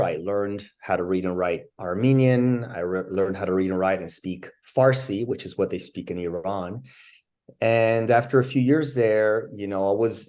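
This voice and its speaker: A man in his forties from France, a guest telling his life story in a casual interview, pausing often with fillers. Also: fairly low pitch, darkish tone. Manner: thoughtful, many pauses and fillers. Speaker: interview guest, bench press champion.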